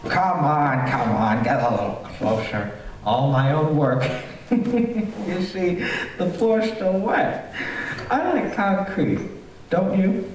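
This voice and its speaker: A radio-thriller villain, a fussy and eager host with menace underneath. His voice is high and swings widely as he repeats himself at a slow pace. It carries through a very roomy theater. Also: lively intonation. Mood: sinister glee. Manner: repetitive, fussy, chuckling, sinister. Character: fussy, eager homeowner, secretly a gleeful murderous villain. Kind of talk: stage performance of golden-age radio thriller. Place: theater stage, live performance. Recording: roomy theater, far from mic, faint noise.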